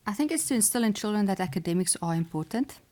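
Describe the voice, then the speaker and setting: A woman's voice: mid-range voice, lively intonation, quick pace. Earnest woman, interviewee. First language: Afrikaans. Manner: earnest, encouraging, with small restarts and soft laughs. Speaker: chess player and sports psychologist. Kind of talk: interview answers.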